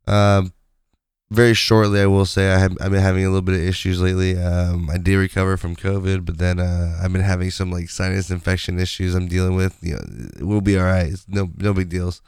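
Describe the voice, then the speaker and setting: A man hosting his podcast in a casual, rambling way, his voice raspy from a sinus infection. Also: low voice, fairly even intonation, a little fast, darkish tone, no echo, clean studio sound. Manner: rambling, conversational, many fillers. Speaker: podcast host. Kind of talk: casual solo podcast chat.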